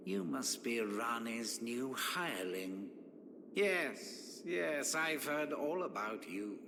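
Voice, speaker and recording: Haughty sorcerer in echoing hall. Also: male voice, mid-range voice. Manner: sneering, condescending, pompous. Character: haughty, spiteful, scheming sorcerer. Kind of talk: video game character dialogue. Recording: echoing hall reverb, noisy game capture.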